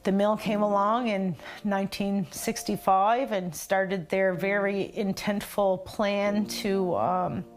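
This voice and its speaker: A woman's voice: mid-range voice, steady tone, slow pace, few pauses. A woman telling her community's history as a documentary interviewee, reflective and conversational.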